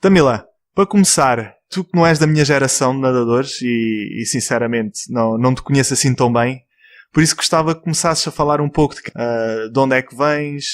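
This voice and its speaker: A young man from France with a European Portuguese accent, hosting a casual podcast chat with a relaxed, chatty manner. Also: mid-range voice, brisk. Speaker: podcast host.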